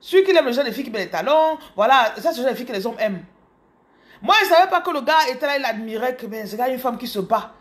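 Cameroonian woman in her thirties, higher voice, animated phone vlog to followers. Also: fast. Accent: Cameroonian French. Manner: animated, repeats words, addresses viewers directly. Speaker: business coach. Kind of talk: casual motivational storytelling. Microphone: phone camera held close.

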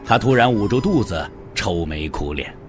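A man's voice: fairly low pitch, expressive, normal speed, over music. A man narrating a scripted martial-arts audio drama as a dramatic storyteller.

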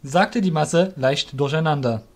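A man narrating a scripted audio drama as storyteller, in a measured, literary reading manner. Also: fairly high pitch, a crowd in the background.

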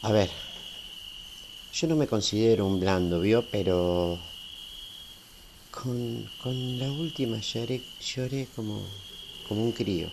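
A man acts out a folksy, middle-aged Argentine family man in a radio play. His voice sits fairly low, and he talks warm-heartedly and at length in a Rioplatense accent, checking that his listener agrees. Crickets and faint hiss sit behind him. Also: slow pace, pauses often. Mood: tender, chatty. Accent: Rioplatense Argentine Spanish. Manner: talkative, folksy, seeking agreement. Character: chatty, tender-hearted small-town family man, patient and homely. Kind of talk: amateur radio play acting. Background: crickets, night ambience.

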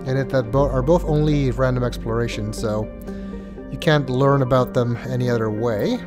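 A man talks casually through his gameplay, his voice stuffy and congested, often pausing with ums and uhs. Faint music plays under his talk. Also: mid-range voice. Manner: hesitant, with many ums and uhs. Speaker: let's play host.

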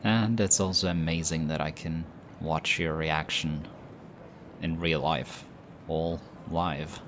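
A young man voicing an affectionate, teasing boyfriend in an audio roleplay, speaking softly and hushed. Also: very deep voice, slow pace, heavily acted. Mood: tender, teasing. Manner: soft, hushed, teasing with light laughs. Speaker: voice actor. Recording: steady ambient background noise. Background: busy airport ambience.

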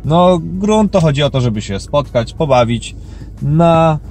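A man's voice: a little high, animated, a little slow, a little mellow, soft background music. A man recording a casual fishing vlog outdoors by a river on a head-mounted camera, chatty and joking with frequent restarts. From Poland. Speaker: fishing vlogger. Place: outdoors by a river on an overcast day. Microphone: head-mounted action camera.

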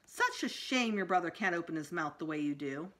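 A woman's voice: lowish voice, animated, brisk, fairly bright tone. A woman voicing a playful, teasing male children's dentist from a storybook, with exaggerated coaxing praise. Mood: jovial, coaxing.

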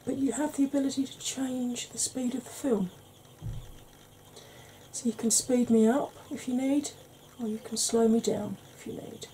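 A woman in her forties with a Welsh-tinged northern English accent, talking slowly and softly with wry humour while doing her makeup. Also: a little high, steady tone, slight background noise, poor audio. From Wales and Yorkshire. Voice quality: soft-spoken, slow, wry. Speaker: makeup vlogger. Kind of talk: soft-spoken makeup tutorial chat.

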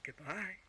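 An actor playing a smug, menacing male crime boss in an audio roleplay, speaking in a mocking, condescending and deliberate way. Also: fairly high pitch, sharp tone, husky, heavily acted. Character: smug, menacing male crime-organization villain, coolly coercive. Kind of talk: scripted audio roleplay villain acting.

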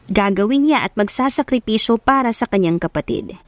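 A woman's voice: medium pitch, lively intonation, fairly quick, no room sound. Woman narrator in a dry, close recording. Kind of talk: scripted narration of a love story.

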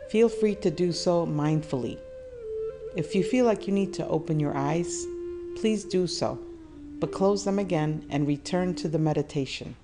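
Woman with a low voice doing guided meditation, soothing and measured, music behind her. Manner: soothing, measured, with deliberate pauses. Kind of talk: guided meditation, calm instruction.

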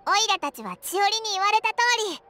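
Very high-pitched childlike fairy sidekick. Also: female voice, a little fast, a little hiss or hum, heavily acted. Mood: hopeful. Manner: brash, rough boyish speech, expressive. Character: small childlike floating fairy companion, boyish-talking, cheerful, excitable. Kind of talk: Japanese video game voice acting.

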